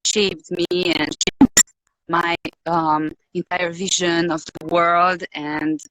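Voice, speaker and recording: A woman from Romania with a Hungarian accent, speaking as a guest in an interview, reflective and hesitant, pausing often to find her words. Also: on the low side, level tone, heavy background noise. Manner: hesitant, searching for words with many pauses and fillers. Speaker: podcast guest.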